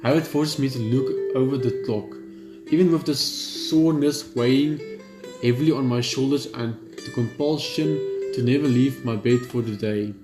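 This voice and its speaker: A man gaming, reading a horror visual novel's narration aloud in a steady read, dropping blunt side remarks. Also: mid-range voice, normal speed, echoey room, over music. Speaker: gamer.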